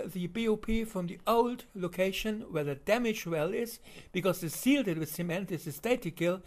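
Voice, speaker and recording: A man with a German accent giving unscripted voice-over commentary on video footage, halting with many fillers and restarts. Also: high voice, darkish tone.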